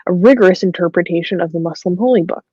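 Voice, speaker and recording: A woman co-hosting a talk show, reading news reports aloud with many pauses and ums, then adding her own comments. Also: fairly low pitch, warm tone, husky, no echo, dull audio.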